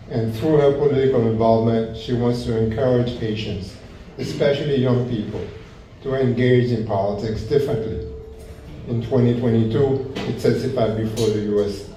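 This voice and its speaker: A man moderating a forum and introducing a panelist to an audience in a halting, hesitant delivery. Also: mid-range voice, dark tone. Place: large echoey indoor event room. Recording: echoey room from far off, faint noise.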